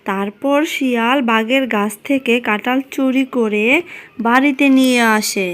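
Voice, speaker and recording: A woman's voice: a little high, steady tone, measured pace. A woman narrator reading a scripted animal fable for children.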